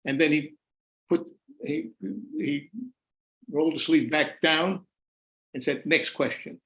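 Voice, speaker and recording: A man's voice: fairly high pitch, takes it slowly, a bit bright, poor audio. An older man, an author being interviewed on a video call, answering thoughtfully with many restarts and repeated words. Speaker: author and former journalist, guest. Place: home room.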